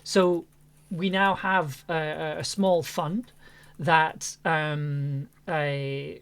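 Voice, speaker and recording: A man hosting a stream, casually addressing viewers in a halting way, repeating words as he finds his phrasing. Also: on the high side, steady tone, creaky, a bit bright, smooth. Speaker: host and audio producer.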